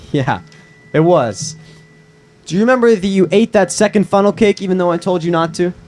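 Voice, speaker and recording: A man reading the part of a calm, teasing young man and close friend at a live screenplay table read. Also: sits high, normal speed. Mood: tender.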